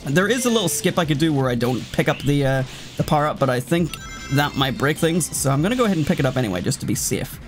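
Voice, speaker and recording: A man with a slightly high voice commentates a video game. He rambles casually from one tangent to another and talks to the game as he goes. Game music plays behind him. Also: very animated, fast. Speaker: let's player commentating a game.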